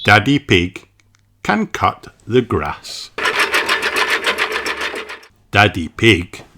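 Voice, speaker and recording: A man reading picture books aloud for children in a slow, playful, exaggerated storytelling way. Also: lowish voice, animated, bright, forceful tone. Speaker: storybook reader.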